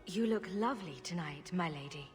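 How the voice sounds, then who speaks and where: A woman's voice: lowish voice, lively intonation, moderate pace, soft background music, lightly acted. A woman playing a confident, sardonic champion adventurer in a video game. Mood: wry. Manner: sardonic, self-assured. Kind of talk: video game cutscene acting.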